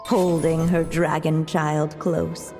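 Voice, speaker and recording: Slow, mellow storybook witch. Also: sits low, flat delivery, soft background music. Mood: gentle, solemn. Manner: measured, formal, tender. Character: solemn, tender witch storyteller, motherly narrator. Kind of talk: scripted storybook narration. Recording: long reverb.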